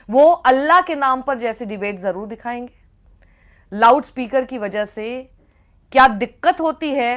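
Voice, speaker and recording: A woman's voice: sits high, fairly bright tone. A woman journalist delivering opinionated news commentary in a scathing, sarcastic way, often with rhetorical questions. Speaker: journalist presenting a report.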